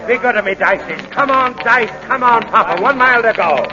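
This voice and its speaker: An actor playing a rough, excitable gambling prizefighter and father in a 1940s radio drama. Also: very high voice, normal speed, over loud music. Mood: excited, gruff. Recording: muffled old 1940s radio recording.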